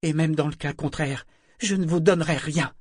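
A defiant young boy, curt and accusing, as a man voices him. His voice is pushed high and loud above the narration. The storybook recording sounds dry and close. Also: moderate pace, slightly rough, dry recording. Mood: furious. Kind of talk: audiobook character reading.

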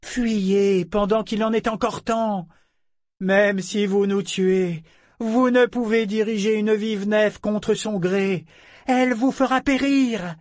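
A man voicing a young woman who warns boarders off in a commanding, measured tone. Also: high voice, steady tone, darkish tone, no echo, studio quality, voice pushed up.

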